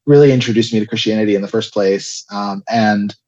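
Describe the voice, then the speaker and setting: A young man raised in South Florida recounts his life story as an interview guest, pausing often with fillers and restarts. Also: fairly low pitch, steady tone. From Israel, raised in South Florida. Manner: hesitant, many restarts and fillers, soft laughs. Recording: dull lo-fi audio.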